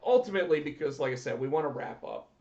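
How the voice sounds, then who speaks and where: A man co-hosting a casual wrestling podcast, talking excitedly and repeating himself, with his voice going hoarse. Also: a little high, quick pace. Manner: excited, talkative, repeats words when starting. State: losing his voice. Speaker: podcast co-host. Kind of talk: casual chat about pro wrestling. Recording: roomy, dull lo-fi sound.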